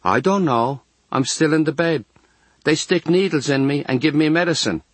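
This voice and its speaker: A man reads as an earnest Irish boy of ten in a storybook. The answers are simple and matter-of-fact, pitched a little high. The recording is close and dry. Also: moderate pace, slightly rough, no room sound. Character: earnest, plain-spoken ten-year-old Irish boy patient.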